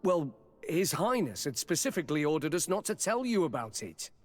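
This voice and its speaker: An actor playing a deferential, uneasy palace bodyguard in a video game, speaking haltingly and respectfully. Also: male voice, a little high, big pitch swings. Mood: uneasy, apologetic. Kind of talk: dramatic video game cutscene acting.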